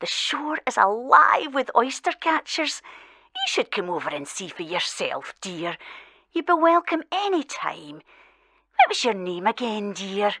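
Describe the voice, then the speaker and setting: A woman's voice: mid-range voice, sing-song, a little slow, bright, forceful tone, no room sound, studio quality, exaggerated voice. An actress playing a chatty, homely elderly island woman with a Scottish accent in an audio drama. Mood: cheery, welcoming. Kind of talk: audio drama acting, phone-in interview.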